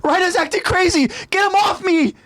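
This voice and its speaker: A man's voice: very high-pitched, very animated, unhurried, a little hiss or hum, pitched above the performer's own voice, exaggerated voice. A man voicing a panicked young male soldier who pleads and screams in terror. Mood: terrified.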